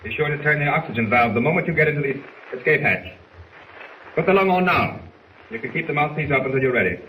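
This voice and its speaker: An actor playing a stern, honourable older submarine commander in 1940s radio drama, with a grave, commanding manner. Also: male voice, a little high, animated, quick pace, pushed and bright. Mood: urgent, worried. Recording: noisy, roomy 1940s radio recording.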